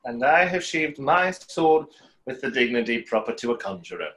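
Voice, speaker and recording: A man's voice: mid-range voice, lively intonation, normal speed, fairly bright tone, close to an everyday voice, poor audio. A man in his thirties in a play reading, playing a suave conjurer with a dry, politely mocking manner. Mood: dry, subdued. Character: suave, wry stage conjurer trading polite barbs. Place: small room, video call.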